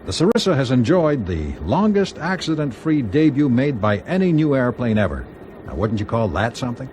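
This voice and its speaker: An actor playing a proud aircraft company executive in a radio drama, speaking in a formal, rhetorical and insistent way. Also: male voice, medium pitch, very animated, moderate pace, darkish tone, a little hiss or hum, natural voice. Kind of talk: BBC radio drama acting.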